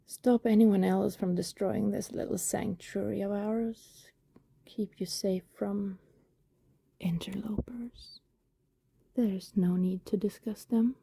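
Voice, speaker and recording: A woman playing a lonely, tender, guilt-ridden vampire neighbor in an intimate ASMR roleplay, speaking softly in a breathy whisper with pauses. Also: medium pitch, fairly even intonation, no echo, full character voice. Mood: wistful, protective. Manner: slow, hushed, intimate, with pauses.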